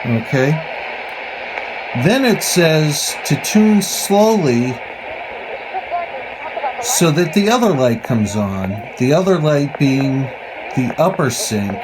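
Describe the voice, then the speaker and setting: A man giving a casual hands-on gadget demonstration as a hobbyist reviewer, halting and pausing mid-phrase, with a skeptical, opinionated bent. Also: fairly high pitch, sing-song, noisy background.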